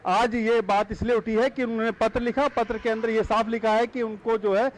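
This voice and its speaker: A man giving a live, unscripted news report as a correspondent, halting and repetitive with many fillers. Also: very high voice, little pitch movement, low-quality recording. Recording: live feed with clear background noise.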